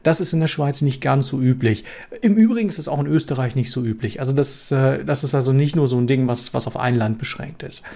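A man's voice: medium pitch, fast, gravelly, mellow. German man telling his story casually. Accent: standard German, Hanover. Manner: conversational, frequent fillers and restarts. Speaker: software developer vlogger.

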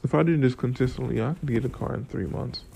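A man's voice: mid-range voice, fast talker, gravelly, dark tone, slight background noise. A young man from Florida with a groggy morning voice, rambling casually and profanely about work and money. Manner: loose, profane, drifts between stories and life lessons. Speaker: vlogger.

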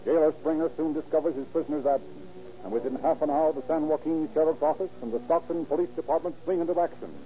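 A man's voice: a little high, fast talker, long pauses, over music, lightly acted. A man acting as the urgent narrator of a scripted 1930s radio crime drama. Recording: muffled old radio recording.

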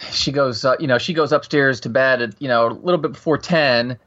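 A man in his forties, guesting on a podcast, telling rambling stories with many restarts and a voice that gets loud. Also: medium pitch, fairly quick, muffled recording. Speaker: podcast guest, host of another show. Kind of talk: casual podcast chat, storytelling.

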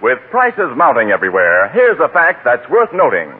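A man's voice: mid-range voice, wildly swooping pitch, moderate pace, loud music behind the voice. An American man reading a scripted 1940s radio commercial as an announcer. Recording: muffled, narrow-band old radio recording.

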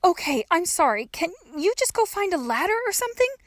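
Woman playing a desperate young girl, very high-pitched. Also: big pitch swings, brisk, dry recording, studio quality. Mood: exasperated. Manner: urgent, high, emphatic pleading. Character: desperate, exasperated young girl trapped in a hole.

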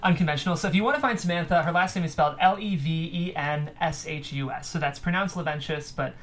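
A man's voice: fairly high pitch, fast talker, a bit bright. A man hosting a script table-read show in a casual, chatty style. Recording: background noise, room ambience.

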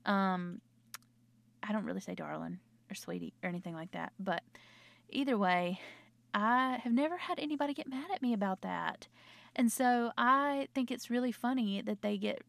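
A young woman with a North Carolina Southern accent, chatting casually and rambling, her voice slightly hoarse. She is a nurse talking into her own microphone in a small closet at home. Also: mid-range voice, moderate pace, no room sound. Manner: rambling, chatty, self-interrupting. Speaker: nurse and podcaster. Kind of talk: casual late-night chat.

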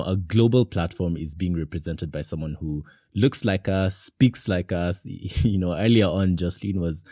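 A man hosts a casual podcast. His voice is very deep and sounds dry and close. He talks in a loose, chatty way and fills gaps with "you know.". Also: warm tone, no room sound. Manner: chatty, with many "you know" fillers and restarts. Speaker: podcast host.

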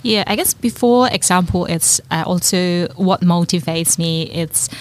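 A woman from China, a guest, talking thoughtfully about translation in a relaxed panel conversation, with frequent restarts. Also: lowish voice, a little slow. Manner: thoughtful, restarts often, laughs easily.

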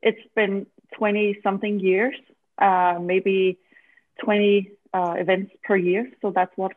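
A woman's voice: medium pitch, level tone, a little slow, no room sound. Woman on a dry, tinny line. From Denmark. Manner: hesitant, many fillers, laughs easily. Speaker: event strategy consultant, podcast guest. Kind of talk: casual interview answers.

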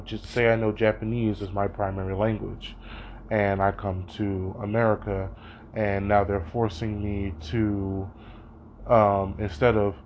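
A man's voice: fairly low pitch, slight background noise. A young man talking through his thoughts as a student, hesitant and thinking aloud, with many "you know" fillers. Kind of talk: spoken reading reflection.

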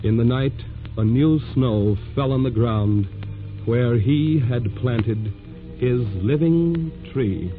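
An actor playing a solemn, poetic narrator of a historical 1940s radio drama, with measured delivery. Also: male voice, medium pitch, close to an everyday voice. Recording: tinny old radio with music.

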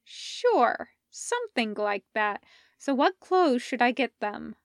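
A woman's voice: a little high, big pitch swings, slow pace, no echo, clean studio sound, heavily acted. A woman voicing a blunt, awkward young woman schemer with a clipped delivery, in a story. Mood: evasive.

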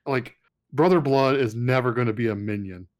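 A man co-hosting a casual, conversational review of an animated series. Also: mid-range voice, moderate pace. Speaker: podcast co-host.

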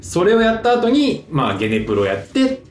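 A man co-hosts a casual chat, talking quickly with a very mobile pitch. His voice sounds roomy, with noticeable echo around it. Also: wildly swooping pitch, a little hiss or hum. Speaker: co-host of a talk show, actor.

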